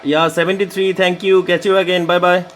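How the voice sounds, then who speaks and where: A man's voice: sits high, level tone, drawn-out words. A man with a Bangladeshi accent, an amateur radio operator making radio calls and explaining casually. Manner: spells call signs in phonetic alphabet. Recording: very noisy, radio static and clicks.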